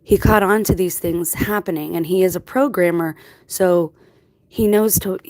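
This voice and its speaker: Female channel host, lowish pitch, informal rambling monologue, reflective and explanatory. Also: moderate pace, darkish tone. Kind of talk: casual rambling monologue to viewers.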